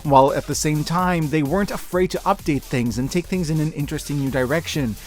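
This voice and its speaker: A man's voice: a little high, a little fast, darkish tone. A man in his thirties giving scripted, enthusiastic video-essay commentary as a host, in an emphatic, gushing, informal way. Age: 30s. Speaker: host of a year-in-review media video. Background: music.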